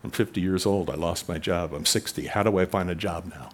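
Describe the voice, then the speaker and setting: A man preaching a sermon in a conversational way, rising in pitch and volume for emphasis. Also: fairly quick. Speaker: pastor.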